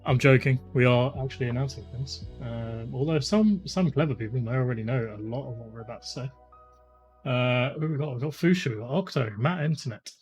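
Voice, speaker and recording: A man in his thirties chatting casually as a stream host, rambling with lots of fillers, his voice slightly croaky. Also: medium pitch. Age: 30s. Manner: rambling, chatty, many fillers, greets viewers by name. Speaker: livestream host. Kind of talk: casual live-stream chat. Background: faint lo-fi music.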